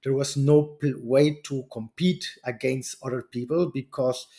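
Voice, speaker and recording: Man with halting English. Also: medium pitch, steady tone, dark tone. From Chile and Germany. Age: 40s. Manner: non-native English, halting restarts, chuckles often. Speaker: guest, venture capitalist. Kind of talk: casual interview talk.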